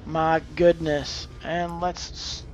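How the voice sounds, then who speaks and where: A man's voice: a little high, little pitch movement, slow pace, soft background music, low-quality recording. A man giving casual live gaming commentary and tips, thinking aloud as he plays. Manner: hesitant, thinking aloud, exclaiming at the game. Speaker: game streamer.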